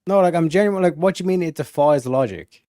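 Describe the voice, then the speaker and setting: A man's voice: fairly high pitch, quick pace, warm tone, no echo, clean studio sound. A man arguing his points earnestly in a casual livestream debate as a guest.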